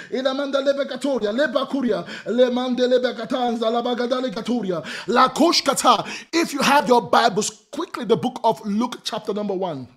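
A man in his thirties preaching over a video call from a small room at home, hoarse from losing his voice, shouting in a chanting cadence with a grunted "uh" between phrases and breaking into tongues. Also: extremely high pitch. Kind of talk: charismatic sermon with shouting and speaking in tongues. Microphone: webcam in front of him, video call.

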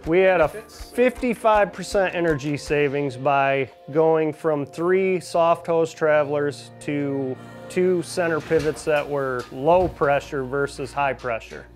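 A man's voice: on the high side, slow, soft background music. A man who farms, giving a testimonial in an interview. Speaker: farmer.

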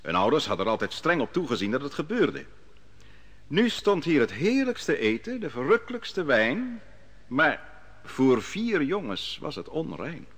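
Solemn prophet Daniel. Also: male voice, on the high side, big pitch swings, a bit bright, exaggerated voice. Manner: measured, solemn storytelling. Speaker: narrating prophet. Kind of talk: 1950s Dutch radio drama acting.

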